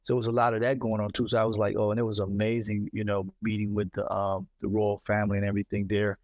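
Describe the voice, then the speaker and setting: A man from Mississippi, telling stories in an animated casual conversation and often repeating himself. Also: on the low side, fast talker, no room sound, lo-fi sound. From Mississippi, United States. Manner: animated storyteller, repeats words, says "you know what I'm saying". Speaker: podcast host.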